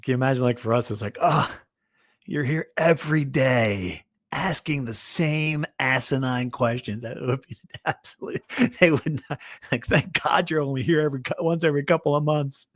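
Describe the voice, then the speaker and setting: A man's voice: medium pitch, normal speed, no echo, clean studio sound. A man co-hosting a sports podcast, talking through games in a casual, conversational style.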